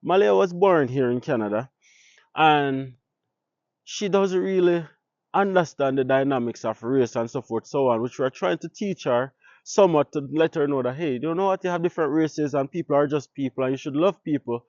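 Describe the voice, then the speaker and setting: A man's voice: a little high, moderate pace, a little mellow, no echo, lo-fi sound. A Jamaican man, a native Patois speaker, talking casually to his viewers and putting rhetorical questions to them. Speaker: vlogger. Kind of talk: casual talk to camera.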